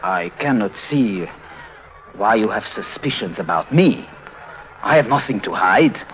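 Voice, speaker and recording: An actor playing a troubled, defensive German circus clown, speaking formal, stilted English with a German accent in a 1950s radio drama. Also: male voice, fairly low pitch, lively intonation, unhurried, a bit bright. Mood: sullen, cowed.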